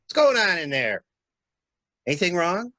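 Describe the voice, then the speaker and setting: A man acting a brisk, take-charge stranded traveler in a dramatic mystery reading. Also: high-pitched, very animated, bright, forceful tone, no echo, exaggerated voice. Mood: alarmed. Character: male stranded traveler, brisk, commanding, takes charge at crime scene. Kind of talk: dramatic reading of a stage-style murder mystery.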